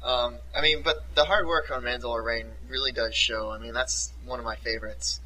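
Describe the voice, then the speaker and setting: A man's voice: mid-range voice, fairly even intonation, brisk, bright, forceful tone, a little hiss or hum, poor audio. A man hosting a podcast, giving brief, laid-back agreeing replies in a casual interview chat. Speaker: podcast host.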